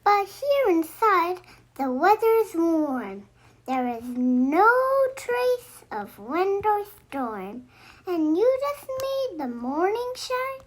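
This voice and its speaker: A female reader telling children's verse in an animated, expressive, sing-song storytelling style. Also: very high-pitched, drawn-out words, rough recording. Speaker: children's book narrator.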